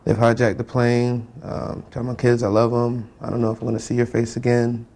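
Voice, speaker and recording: A man shares memories in an interview as a family member. His voice is medium in pitch, with noticeable fry and a very mellow tone. His delivery is hesitant and halting. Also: completely flat delivery. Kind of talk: personal recollection in a media interview.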